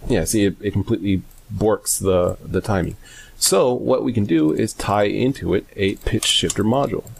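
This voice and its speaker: A man giving a casual software tutorial, hesitant and informal as he thinks aloud. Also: lowish voice, normal speed, vocal fry, a little hiss or hum. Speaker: tutorial host.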